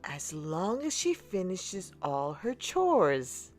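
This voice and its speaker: Woman putting on sly, slow-drawling stepmother. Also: lowish voice, very animated, soft background music. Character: mean, sly stepmother, middle-aged, condescending.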